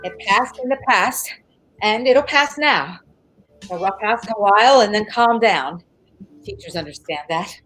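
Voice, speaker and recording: An actress playing a soothing mother who gently calms her daughter. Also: medium pitch, unhurried, low-quality recording.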